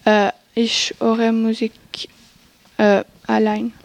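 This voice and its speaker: A woman's voice: fairly high pitch, monotone, slow pace, slight background noise. A female student gives a classroom presentation in careful, broken learner phrasing.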